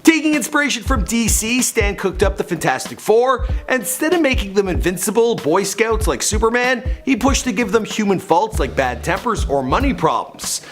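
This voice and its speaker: A man's voice: high-pitched, big pitch swings, moderate pace, hardly any pauses, a bit bright. A man delivering a scripted biography narration like a showy storyteller, with conversational asides. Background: background music.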